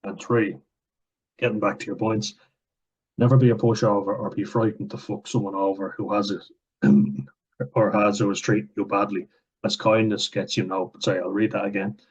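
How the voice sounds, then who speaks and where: A man's voice: on the low side, level tone, normal speed, slightly rough. A young man reading out viewer comments and answering them in blunt, sweary casual chat, with frequent fillers. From Ireland. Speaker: vlogger. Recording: dull lo-fi recording.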